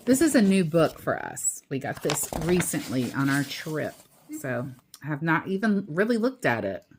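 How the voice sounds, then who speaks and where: A woman's voice: low voice, sing-song, normal speed, a little hiss or hum. A woman reading a children's picture book aloud, with simple explanations in casual asides to a young listener.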